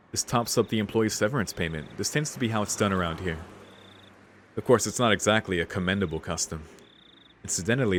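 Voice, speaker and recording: Low-pitched man, laid-back gaming commentary. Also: a little hiss or hum. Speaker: let's play streamer. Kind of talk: casual gaming commentary, reading subtitles aloud. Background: game music.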